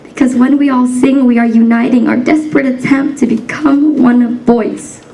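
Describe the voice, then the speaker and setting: A woman's voice: high-pitched, fairly even intonation, normal speed, vocal fry, dark tone, recorded from a distance, low-quality recording. A young woman from the United States performing a spoken word poem in a rhythmic, rhyming cadence, emphatic and defiant. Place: banquet hall stage.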